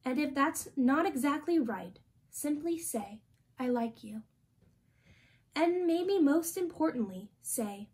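A young woman reads a picture book aloud for children in a high voice. Her pace is very slow and deliberate, with gently expressive phrasing. She is recorded indoors in a small room. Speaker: storytime reader.

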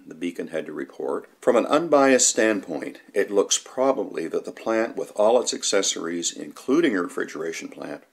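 A man reading scripted documentary narration, including old newspaper reports. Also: deep voice.

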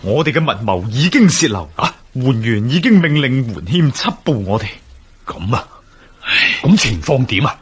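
An actor with a Hong Kong Cantonese accent playing an urgent, impassioned rebel conspirator in a radio drama, with a husky voice. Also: male voice, medium pitch, very animated, normal speed, a bit bright, soft background music, heavily acted. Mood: alarmed, urgent.

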